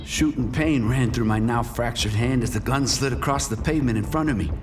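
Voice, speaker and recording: A man's voice: medium pitch, a little fast, noisy background, natural voice. An actor playing a bitter, menacing drug dealer who narrates his crime drama with cynical, profane threat. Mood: brooding, cynical. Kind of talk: noir first-person scripted narration and dialogue.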